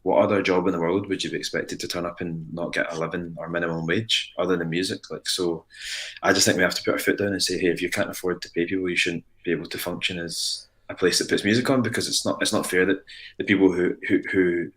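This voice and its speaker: A man's voice: low voice, fairly even intonation, quick pace, fairly bright tone. A Scottish man in his late twenties, a musician guest talking earnestly in a casual interview, rambling with frequent restarts. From Ayrshire, Scotland.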